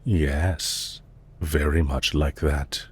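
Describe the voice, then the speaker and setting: A man playing a courteous, calm villain leader in an audio roleplay, speaking in a polite, measured, formal and softly commanding way. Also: a bass voice, slight background noise, heavily acted. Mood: calm, mildly chiding. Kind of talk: scripted roleplay audio drama.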